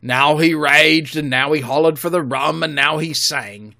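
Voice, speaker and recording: A haunted old pirate sailor, put on by a man reading aloud, recalling a grim memory slowly and loudly. His bright voice sits fairly high. He broods like a seaman who never shook off a bad night. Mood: brooding.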